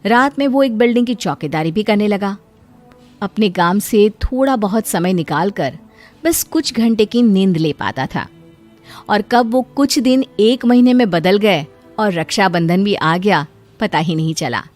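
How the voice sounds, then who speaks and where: A woman's voice: mid-range voice, animated, measured pace, darkish tone. Woman narrating a story over music. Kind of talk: scripted narration of a cartoon moral story. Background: background music.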